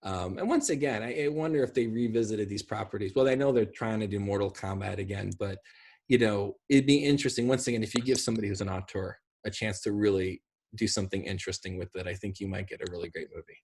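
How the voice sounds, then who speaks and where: A man's voice: on the low side, fast talker, darkish tone, slight background noise. A man in his thirties chatting casually about films on a video call from home, rambling and emphatic. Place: indoor room at home. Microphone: video call, sitting back from a computer.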